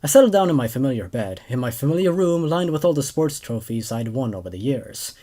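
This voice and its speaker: A man's voice: medium pitch, sing-song, a little fast, warm tone. A man in his twenties hosting a horror story show, reading aloud and chatting casually about the tales. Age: 20s. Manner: hesitant, repeats words when commenting. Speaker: host and narrator of horror stories.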